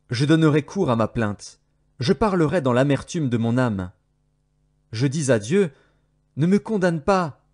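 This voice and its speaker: Higher-pitched man reading scripture. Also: darkish tone. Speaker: audio Bible narrator.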